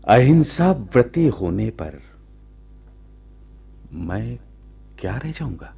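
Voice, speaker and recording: A man playing a proud, lovestruck royal commander of ancient India in a radio drama, speaking in measured, halting, formal courtly phrases. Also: medium pitch, very animated, warm tone, dull audio, full character voice. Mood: proud, earnest. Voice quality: gravelly, husky. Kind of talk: slow, theatrical Hindi radio drama acting.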